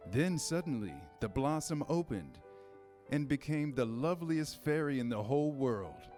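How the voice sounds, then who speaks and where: A man's voice: a little high, animated, normal speed, darkish tone, soft background music. A man reading scripted storybook narration in a staged reader's theater style.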